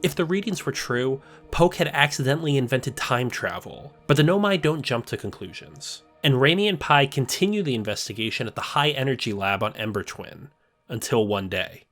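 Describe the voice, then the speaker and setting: A man narrating a scripted video essay, explaining a story step by step in a measured way. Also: medium pitch, animated, a bit bright, soft background music.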